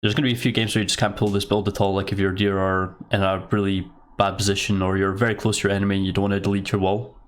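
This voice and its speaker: A man's voice: deep voice, flat delivery, fast talker, few pauses. A man with a stuffy, cold-affected voice gives casual step-by-step commentary as the host of a strategy game tutorial. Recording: noisy mic with keyboard clicks. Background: keyboard typing and mouse clicks.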